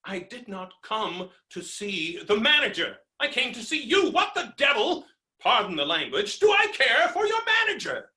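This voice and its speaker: A man's voice: very high voice, very animated, moderate pace, bright, forceful tone, full character voice. A man playing a blustering, hot-tempered creditor in a theatrical stage comedy reading, ranting with exasperated, melodramatic exclamations. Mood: furious demanding. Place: indoor room, recorded remotely. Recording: roomy, echoing virtual staged-reading recording.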